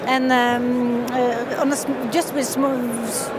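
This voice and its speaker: Woman, 50s, Norwegian accent, musician being interviewed outdoors; high voice, hesitant, restarts often; traffic noise behind. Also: level tone, slow. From northern Norway. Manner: hesitant, many fillers and restarts, laughs often. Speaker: fiddle player and composer. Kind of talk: casual interview. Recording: loud street noise behind.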